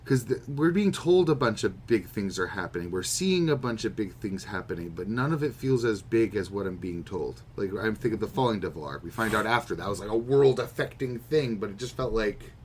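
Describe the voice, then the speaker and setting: Low-voiced man chatting on a podcast. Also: lively intonation, brisk, hardly any pauses, slight background noise. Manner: opinionated, musing, swears freely. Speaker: podcast co-host. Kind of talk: casual chat about manga.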